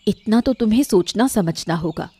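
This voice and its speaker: A woman's voice: mid-range voice, animated, normal speed, warm tone, slightly rough, dry recording. A woman voicing an authoritative, fair-minded queen in a cartoon story, speaking firmly and directly. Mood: firm, reproving. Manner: firm, direct. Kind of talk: Hindi cartoon story voice acting.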